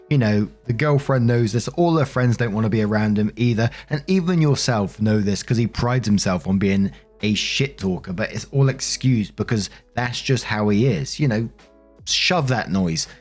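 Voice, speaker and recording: Man, fairly low pitch, story narration, conversational commentary, opinionated, faint background music. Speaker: host narrating and commenting on online stories. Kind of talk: reading stories aloud with casual commentary.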